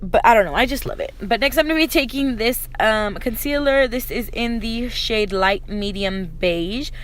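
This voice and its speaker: A young woman with a slightly raspy voice, chatting informally to viewers through a casual makeup tutorial. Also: a little high, normal speed. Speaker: beauty vlogger. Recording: noticeable background noise.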